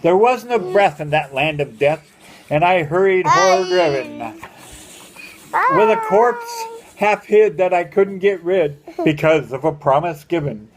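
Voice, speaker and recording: Older male, high voice, homespun grandfatherly verse reader with a theatrical, sing-song storyteller's rhythm. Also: big pitch swings, takes it slowly, a crowd in the background. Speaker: grandfather reading a poem aloud to family. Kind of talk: dramatic amateur poem recitation.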